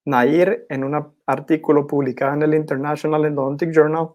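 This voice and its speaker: A young man gives a formal academic presentation in a measured, didactic manner as a postgraduate student. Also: on the high side, steady tone, dull audio. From Guatemala. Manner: measured, didactic, clearly enumerating.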